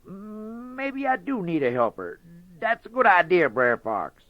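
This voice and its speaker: A man's voice: sits high, big pitch swings, a little slow, no room sound, pitched above the performer's own voice. A man voicing a sly, boastful male trickster rabbit in folksy storybook dialect. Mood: sly, chummy. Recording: dull dry lo-fi recording.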